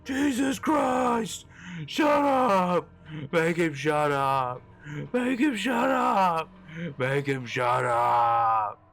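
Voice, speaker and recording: A man's voice: a little high, big pitch swings, moderate pace, a bit bright, soft background music, pitched above the performer's own voice. A man voicing a terrified, unraveling young man. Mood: screaming panic. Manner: frantic, pleading, screaming. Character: panicked paranoid young man hearing voices.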